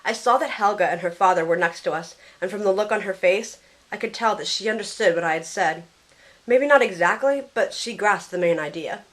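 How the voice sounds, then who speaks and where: A woman performs a young shipwreck survivor in a fan-made audio drama. She tells her story in the first person, earnest and grieving, at a middle pitch. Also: a little fast, fairly bright tone, lightly acted, poor audio. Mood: sorrowful. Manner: earnest storytelling, tearful in dialogue.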